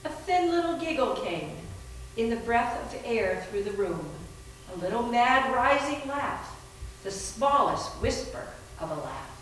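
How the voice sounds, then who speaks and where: Slow woman narrator, very echoey room. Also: on the high side, sing-song. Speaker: narrator in readers theater. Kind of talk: dramatic scripted narration. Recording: very echoey room, distant mic, background noise.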